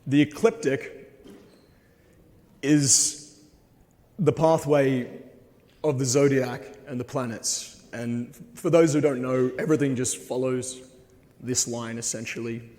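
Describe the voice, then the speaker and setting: Slightly hoarse man, earnest and halting, with a long hall reverb around his voice. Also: medium pitch, fairly even intonation, darkish tone. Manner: hesitant, frequent ums, earnest. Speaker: conference speaker. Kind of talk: presentation talk with slides. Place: conference hall.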